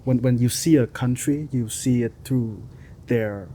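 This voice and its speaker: A man speaks hesitantly as a guest on a podcast, filling his pauses with "like" and "um". His voice is mid-pitched and mellow, and his grammar runs loose. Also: a little hiss or hum. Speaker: filmmaker, guest. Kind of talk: podcast interview.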